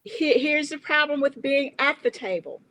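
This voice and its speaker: Panel guest, woman with a Southern American accent; earnest, emphatic, bright tone. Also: high voice, lively intonation, moderate pace, low-quality recording. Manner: earnest, builds stories to emphatic points. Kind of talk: panel conversation.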